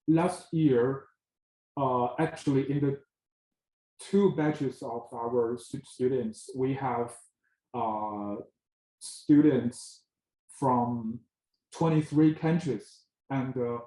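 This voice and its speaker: A man giving an admissions info session presentation, halting with frequent filler pauses. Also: medium pitch, dark tone. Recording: echoey room.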